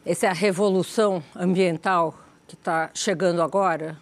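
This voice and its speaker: A woman's voice: fairly low pitch, steady tone, moderate pace. A woman interviewer, asking friendly, probing questions in a conversational way.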